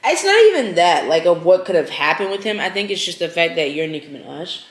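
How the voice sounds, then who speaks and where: A woman's voice: lowish voice, sing-song, fast talker. A woman, the host's cousin, in casual conversation, arguing her point firmly. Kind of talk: casual chat. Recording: echoey room.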